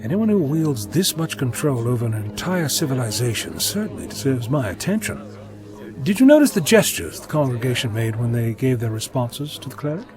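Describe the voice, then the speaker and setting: Curious male traveller, played by an actor, courteous and analytical, very varied pitch, music behind him, audio drama. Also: big pitch swings, brisk, natural voice. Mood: intrigued. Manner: inquisitive, courteous.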